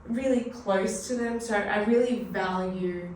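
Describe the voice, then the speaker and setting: A woman's voice: medium pitch, measured pace, a little mellow. An Australian woman in her thirties, a finance broker chatting reflectively as a podcast guest, with lots of "you know" fillers. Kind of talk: casual conversational interview. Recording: echoey room, mic at a distance, faint noise.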